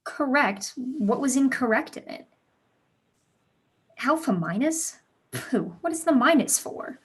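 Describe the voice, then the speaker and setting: Casting: woman to play headstrong teenage girl pupil in period drama, highish voice, eloquent, eager, loves an argument. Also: expressive, takes it slowly, husky, exaggerated voice. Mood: indignant. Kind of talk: staged reading of a period play.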